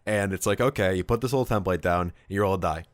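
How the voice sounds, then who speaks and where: A man with a very low voice hosts a casual, conversational podcast. He recounts things in a wry, self-deprecating way and swears freely. The sound is dry, with no room echo. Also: fast talker. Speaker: podcast host. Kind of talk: casual chat recounting tabletop wargame battles.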